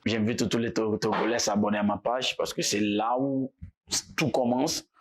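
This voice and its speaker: A man from Togo, a musician guest talking in a casual interview, relaxed and halting, often repeating words. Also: lowish voice.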